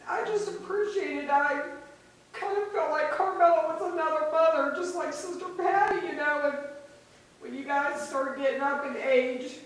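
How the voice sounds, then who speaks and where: A woman's voice: sits high, steady tone. Woman's heartfelt memories, echoing church. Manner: heartfelt, rambling, halting. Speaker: mourner sharing memories. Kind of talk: informal memorial remarks. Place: church sanctuary. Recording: echoey room, mic far off.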